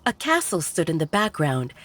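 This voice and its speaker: A woman narrating a scripted fiction story in a measured, expressive storytelling manner. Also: low-pitched, no room sound. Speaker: audiobook narrator.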